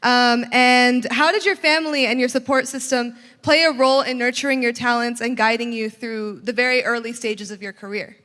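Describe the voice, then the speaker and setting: Young woman hosting a campus q&a, bright and upbeat, higher voice, hall echo. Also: fairly even intonation, moderate pace, few pauses. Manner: upbeat, laughs between questions. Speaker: student interviewer. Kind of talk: scripted interview questions. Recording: long hall reverb.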